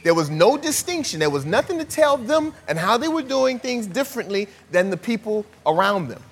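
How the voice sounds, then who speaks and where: A man delivers a sermon in a relaxed, conversational manner, stacking rhetorical questions. His voice sits a little high for a man. The recording carries a long hall reverb. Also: moderate pace, slightly rough. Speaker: preacher.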